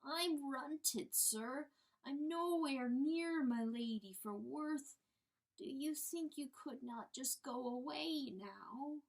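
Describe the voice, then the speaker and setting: A woman's voice: sits high, slow pace, pitched above the performer's own voice, rough recording. Woman doing a timid little crippled hen. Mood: pleading. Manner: whining, pleading, old-fashioned country speech.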